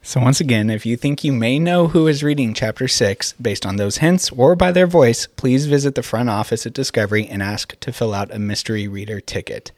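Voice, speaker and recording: A man's voice: mid-range voice, fairly quick, few pauses, a little mellow, dry recording, studio quality. A man hosting a podcast, giving a scripted introduction and announcement. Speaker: podcast host.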